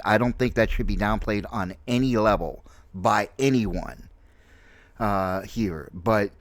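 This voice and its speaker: A man in his late forties giving casual, unscripted opinion commentary on a game, halting but emphatic, repeating phrases for stress. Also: mid-range voice, fairly bright tone, no echo. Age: late 40s. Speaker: gaming video host and streamer.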